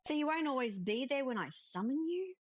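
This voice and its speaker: Lady doing a nervous young apprentice witch, wide eyed questions, super animated, cozy game dialogue. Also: high-pitched, brisk, soft background music, voice pushed up. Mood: unsure. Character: young novice witch, eager, anxious and questioning. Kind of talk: cozy visual novel dialogue read aloud.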